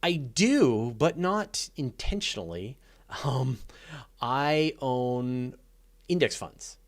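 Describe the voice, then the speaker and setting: A man's voice: medium pitch, expressive, slow. A man talking casually and off the cuff as the host of a live question session, thinking aloud in a conversational, keen way. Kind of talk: casual unscripted Q&A talk about space and astronomy.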